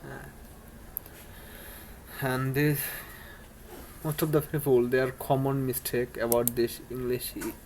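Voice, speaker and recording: A man with a Bangladeshi accent coaches beginners in spoken English, speaking slowly and in a flat, even tone. His phrasing is earnest and halting, full of restarts and broken grammar. A faint background hum sits under his voice. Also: medium pitch, low-quality recording. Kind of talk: informal English lesson to camera.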